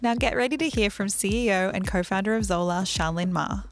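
A woman's voice: mid-range voice, a little fast, over music. A young woman from Australia hosting a podcast, chatty and curious. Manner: chatty, many "like" fillers. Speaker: podcast host. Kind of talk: conversational interview.

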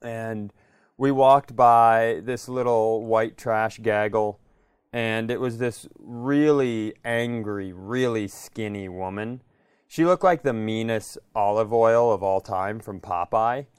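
American man, smooth clear voice, dry close sound, casual comic storytelling, podcast host. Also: fairly low pitch, slow, no echo.

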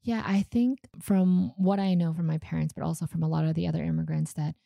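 A woman's voice: sits low, steady tone, fairly quick, gravelly, dark tone, no echo, clean studio sound. A Canadian-born woman whose first language was Vietnamese, casually telling her story as an interview guest, chatty with fillers and small laughs.

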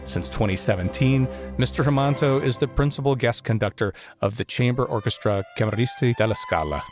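A man hosting a podcast, reading a measured, formal introduction and then asking his guest questions. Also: medium pitch, music in the background. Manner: measured, formal announcer delivery. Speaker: podcast host. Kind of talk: scripted show intro and interview questions.

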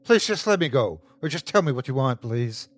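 A man voicing a terrified mother in a horror story, pleading and halting as she speaks. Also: a little high, huge pitch swings, slightly rough, pitched above the performer's own voice, exaggerated voice. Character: terrified pleading mother, adult woman in torment. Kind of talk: horror audiobook character voice.

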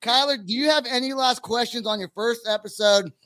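Very high-pitched, bright American man, brash. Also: brisk, no echo. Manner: jokey, brash, sweary. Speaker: podcast host. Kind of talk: casual podcast chat.

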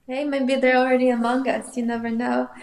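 A woman's voice: high voice, level tone, fairly quick, creaky, darkish tone. A woman from Russia, an artist guest, musing thoughtfully and hesitantly in a casual interview. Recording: roomy echoey sound.

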